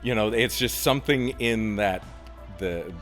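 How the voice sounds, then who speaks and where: A man from a stage cast, speaking in a measured, reflective way. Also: lowish voice, a bit bright, faint music behind. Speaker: stage actor. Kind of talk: casual interview.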